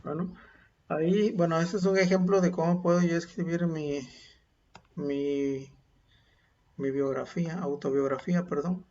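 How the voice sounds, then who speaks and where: A male teacher, dictating and reading a model text aloud slowly, saying the punctuation. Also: a little high, steady tone, darkish tone. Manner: slow, deliberate dictation with spoken punctuation. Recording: dull lo-fi home recording.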